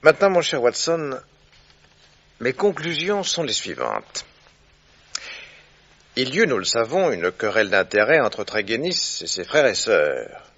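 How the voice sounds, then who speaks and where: An actor playing a keen, courteous gentleman detective in a French radio drama, speaking in a deliberate, theatrical way. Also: mid-range voice, very animated, full character voice. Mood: assured, explaining. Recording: dull, muffled old radio recording.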